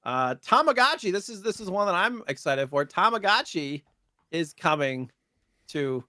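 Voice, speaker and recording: A man's voice: high voice, big pitch swings, normal speed, pushed and bright, dry recording, clean studio sound. A man co-hosting a podcast, chatting casually and jokingly about gaming news. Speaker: podcast co-host.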